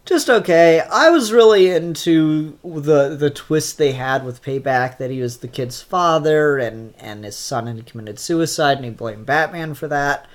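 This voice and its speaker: Slow, stuffed-up casual comic reviewer. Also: medium pitch. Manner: unhurried and offhand, many ums and restarts. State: stuffed up, congested.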